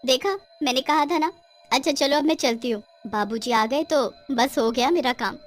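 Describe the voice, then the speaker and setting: A woman voicing an eager but timid young village girl in a cartoon story, earnest and expressive. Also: high voice, moderate pace, soft background music, close to an everyday voice. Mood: eager, bright. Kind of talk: Hindi cartoon story acting.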